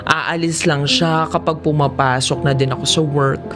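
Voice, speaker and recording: A Filipino narrator telling a first-person confessional drama story in a measured way, often pausing mid-sentence. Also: medium pitch, fairly even intonation, music in the background.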